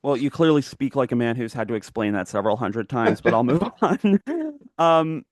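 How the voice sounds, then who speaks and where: A man's voice: mid-range voice, lively intonation, moderate pace, no echo. A man hosting a casual political interview. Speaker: interview host.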